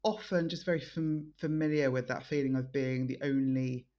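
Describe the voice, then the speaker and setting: A middle-aged woman born in Nigeria and raised in London, giving thoughtful interview answers as a guest, with frequent pauses and restarts. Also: rumbling low, steady tone, mellow, highs cut off. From born in Nigeria, raised in South East London. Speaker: guest, think tank director.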